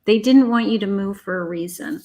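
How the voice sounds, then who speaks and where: A woman's voice: mid-range voice, a little fast, darkish tone. Chatty woman reading tarot live. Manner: chatty and reassuring, calls viewers honey and sweetheart. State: losing her voice. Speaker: psychic tarot reader.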